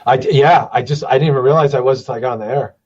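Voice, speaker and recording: A man in his forties, a podcast guest, chatting casually with a voice that is going hoarse from a cold, swearing freely and rambling with lots of restarts. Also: medium pitch, rapid-fire, darkish tone, muffled recording. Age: 40s. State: losing his voice, starting a cold. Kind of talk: casual chat.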